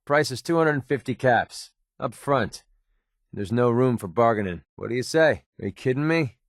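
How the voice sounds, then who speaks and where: An actor voicing a wry, prickly young mercenary sniper with a hidden soft side in a video game, sarcastic and conversational. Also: male voice, mid-range voice, moderate pace, no echo, studio quality, lightly acted. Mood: cocky, wry. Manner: sarcastic, conversational, emotionally expressive. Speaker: video game companion character. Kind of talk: naturalistic video game voice acting.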